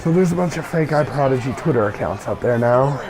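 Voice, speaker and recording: A man's voice: medium pitch, expressive, a little fast, noisy background. A man with a nasal, stuffed-up voice from a cold, rambling casually and profanely as he comments over a video game. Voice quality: nasal, congested. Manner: profane, informal, hesitant rambling. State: has a cold. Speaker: gaming video creator.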